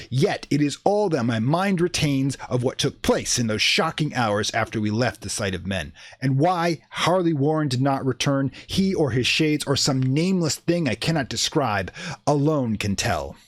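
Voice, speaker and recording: A man's voice: medium pitch, animated, moderate pace, no echo, studio quality, pitched above the performer's own voice, natural voice. A man playing a shaken, defensive witness giving formal, old-fashioned testimony in a dramatic radio play monologue. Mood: fervent.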